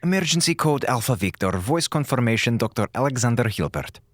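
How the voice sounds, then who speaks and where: An actor playing a cold, calculating doctor in a space audio drama, formal, measured and condescending, with a lively, swooping delivery. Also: male voice, mid-range voice, natural voice. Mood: coldly formal. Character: cold, calculating mutinous male doctor aboard a space station.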